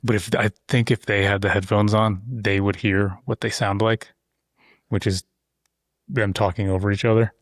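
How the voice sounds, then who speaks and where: Low-voiced man chatting as podcast host. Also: level tone, moderate pace, no room sound, clean studio sound. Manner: talkative, explains at length with loose asides. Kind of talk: casual conversation. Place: insulated shop-building studio. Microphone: close studio mic.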